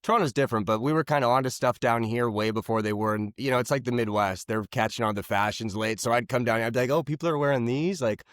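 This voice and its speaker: A man's voice: mid-range voice, fast talker, few pauses, dry recording, clean studio sound. A young man from Canada hosting a podcast, chatting casually in a jokey way with offhand swearing. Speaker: podcast host. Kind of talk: casual interview chat.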